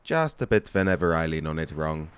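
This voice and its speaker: A man's voice: a bass voice, very animated, fairly quick, a bit bright, clear, resonant tone, exaggerated voice. A man playing a nervous, good-natured pirate deckhand in an audio drama, speaking hesitantly and deferentially. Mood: shy, polite.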